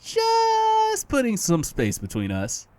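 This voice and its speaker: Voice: high-pitched, wildly swooping pitch, slow, a little mellow, no room sound, full character voice. An actor in an audio drama playing a playful, petty, non-human best friend who teases in an exaggerated, theatrical way. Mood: wary, evasive. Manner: teasing, chuckling, exaggerated.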